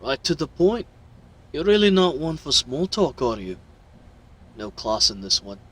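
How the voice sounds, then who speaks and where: A man's voice: mid-range voice, pushed and bright, husky, exaggerated voice, low-quality recording. A man voicing a smug, mocking villain with theatrical, condescending delivery in an audio roleplay. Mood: smug, taunting. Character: smug, mocking male villain crime broker.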